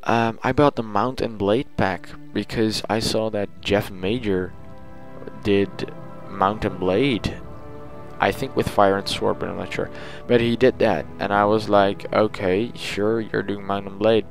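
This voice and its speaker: A man's voice: lowish voice, vocal fry. A man talking casually in an audio log as a gaming channel host, chatty and thinking aloud.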